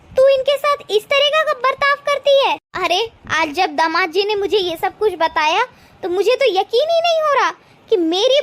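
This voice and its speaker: A woman acts out a cartoon family woman with an extremely high, almost childlike pitch. She is protective and indignant, speaking in a dramatic, reproachful way as in an animated moral story. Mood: ashamed, scolding. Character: protective, indignant mother-in-law and her young married daughter.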